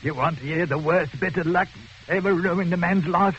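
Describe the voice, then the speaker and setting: An actor playing a seedy little prisoner, colloquial and self-pitying, in a 1940s radio drama. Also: male voice, sits high, normal speed, a bit bright. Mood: self-pitying, confessional. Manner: colloquial, wheedling, coughing between phrases. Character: sallow, coarse little prisoner, chatty and bitterly self-pitying. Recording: dull, hissy old radio recording.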